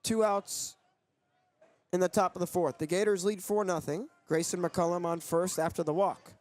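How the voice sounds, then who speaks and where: A man's voice: high-pitched, fairly even intonation, normal speed. A young man doing sports broadcast commentary as a play-by-play announcer and reporter. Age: young adult.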